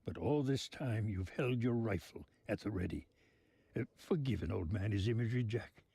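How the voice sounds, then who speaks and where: An actor playing a genteel, sly old mob boss in a video game, speaking in florid, deliberate phrases. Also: male voice, fairly low pitch, creaky, dark tone, breathy edge, dry recording, heavily acted. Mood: grandly knowing. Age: older.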